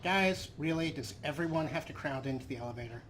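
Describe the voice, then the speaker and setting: A man's voice: on the high side, fast, fairly bright tone. A man giving casual let's play commentary, muttering to himself as he plays. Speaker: gaming video host. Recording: clear background noise under voice.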